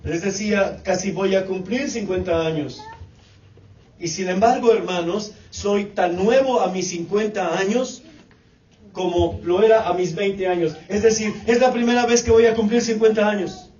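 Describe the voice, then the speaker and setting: Preacher, man in his late 40s, high voice, repetitive cadence; distant, dull recording. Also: steady tone, normal speed, a little mellow, echoey room. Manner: repetitive preaching cadence, addresses congregation as brothers. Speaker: pastor. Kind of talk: sermon.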